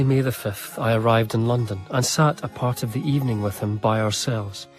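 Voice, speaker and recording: A man narrating a radio drama in a measured, formal period style. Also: mid-range voice, lightly acted.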